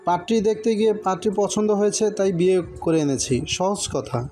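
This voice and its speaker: Male actor as gentle young husband in a romance audio drama, high-pitched, soft and mellow. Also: moderate pace, soft background music, lightly acted. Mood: tender, matter-of-fact. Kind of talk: audio story acting.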